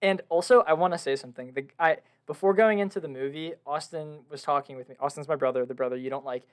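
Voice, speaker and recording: A man's voice: on the high side, fast, clean tone. A young man co-hosting a casual podcast, talking about a film with eager, gushing enthusiasm and frequent laughs. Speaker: podcast co-host. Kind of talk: casual film review chat. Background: washing machine at home.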